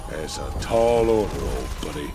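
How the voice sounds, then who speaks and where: Burly male space marine, very low gruff voice, Southern American drawl, wry, video game cinematic, music behind. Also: expressive, moderate pace. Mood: gung-ho drawl.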